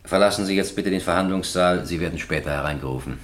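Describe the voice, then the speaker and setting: An actor playing a stern, formal presiding judge with an official, commanding manner in a radio drama. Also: male voice, low-pitched, fast, background noise, natural voice. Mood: formal, procedural. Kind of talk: radio courtroom drama acting.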